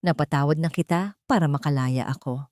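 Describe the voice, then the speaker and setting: A woman voicing a composed mother who speaks gently and firmly as she forgives. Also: sits low, brisk, no room sound, studio quality, close to an everyday voice. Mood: calm, forgiving. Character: calm, firm, forgiving mother and seamstress.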